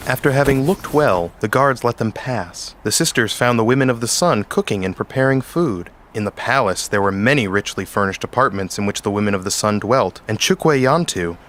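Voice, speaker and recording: A man's voice: fairly low pitch, lively intonation, moderate pace, few pauses, a bit bright, slight background noise. A man hosting and narrating a scripted storybook tale. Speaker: host and narrator.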